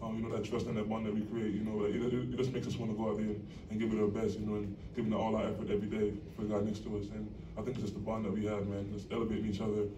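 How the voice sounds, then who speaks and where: A young male athlete answers earnestly, rambling through fillers in a low, flat voice. He talks quickly. The recording is distant and roomy, with a clear steady noise underneath. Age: young adult. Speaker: college basketball player. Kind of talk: postgame press conference answers. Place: press conference room. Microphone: table mic, recorded from across the room. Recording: echoey room, distant mic, steady noise.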